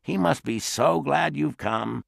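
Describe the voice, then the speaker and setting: A man voicing a frail, wistful elderly great-aunt who talks softly and slowly in an audiobook. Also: mid-range voice, creaky, no echo, clean studio sound, voice pushed up. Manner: soft, slow and reminiscing. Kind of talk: audiobook character voice.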